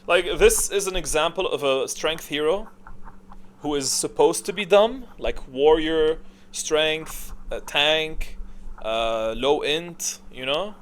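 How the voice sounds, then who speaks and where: A man giving casual, off-the-cuff ranking commentary in an informal, opinionated way, often halting to think aloud. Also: on the high side, fairly bright tone. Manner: opinionated, informal, mildly profane. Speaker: video creator giving a tier list. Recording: clearly audible background noise.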